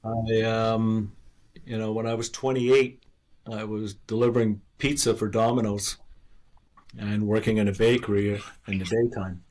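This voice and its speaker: A man's voice: on the low side, little pitch movement, unhurried, a little mellow, low-quality recording. A man in his sixties from Canada, a former investor being interviewed, telling his story in a candid, earnest way. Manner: candid, earnest, restarts and self-deprecating laughs. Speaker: guest, former investor and author.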